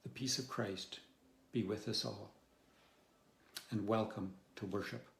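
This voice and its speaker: Male congregational leader, lowish voice, very unhurried solemn delivery of a worship welcome. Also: fairly even intonation, vocal fry, fairly bright tone. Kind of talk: measured church welcome, read aloud. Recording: roomy, echoing sound.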